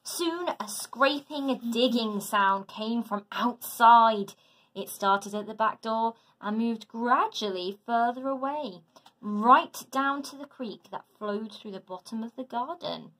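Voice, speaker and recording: A woman reads a children's story like a teacher addressing her class. Her delivery is dramatic and deliberate, with very slow pacing. The voice is pitched fairly high. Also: fairly bright tone, rough recording. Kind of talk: expressive children's storybook narration.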